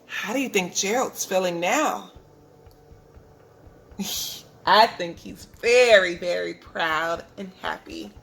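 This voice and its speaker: A woman preschool teacher reading a storybook aloud to young children, expressive and deliberate. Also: lowish voice. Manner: expressive, deliberate, asks young listeners questions.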